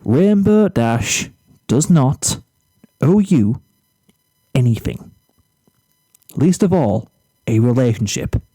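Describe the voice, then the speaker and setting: A man reading a storybook character, an exasperated, blunt teenage boy who speaks firmly and deliberately. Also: medium pitch, expressive, mellow, no echo. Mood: firm, reasoning. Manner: firm, emphatic, deliberate.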